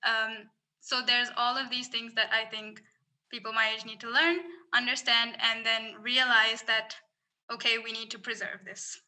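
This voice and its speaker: A woman's voice: a little high, level tone, a little slow, pushed and bright. A young woman from Singapore, a climate researcher, speaking earnestly as a podcast guest with frequent restarts. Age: young adult. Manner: earnest, thoughtful, many restarts. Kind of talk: casual podcast interview.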